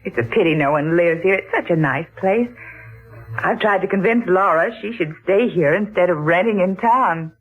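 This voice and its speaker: A woman's voice: on the low side, moderate pace, narrow, tinny audio, faint music behind, close to an everyday voice. An American woman playing a cheerful, practical wife in 1940s radio drama style. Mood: chatty.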